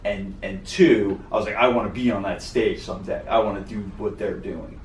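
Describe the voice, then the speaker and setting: Low-voiced man rambling earnestly, roomy sound. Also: steady tone, a little fast. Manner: rambling, earnest, lots of "like" and "you know". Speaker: gym owner and co-host. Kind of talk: casual podcast talk. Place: podcast studio. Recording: roomy, mic at a distance, faint noise.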